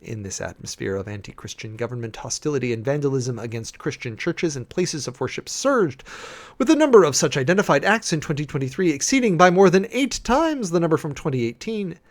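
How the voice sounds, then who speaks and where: A man's voice: on the high side, very animated, brisk. Man reading an official document dramatically. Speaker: preacher, host of a dramatic reading series.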